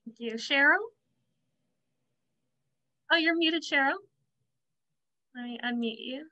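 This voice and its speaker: A woman's voice: high voice, slow, sharp tone. A young woman hosting a casual video call with an enthusiastic manner. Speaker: host. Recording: dull lo-fi video call audio.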